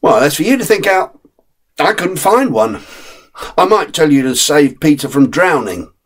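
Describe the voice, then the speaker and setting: A man's voice: fairly high pitch, very animated, moderate pace, voice pushed up. A man voicing a friendly, streetwise male terrier who gives blunt, knowing advice.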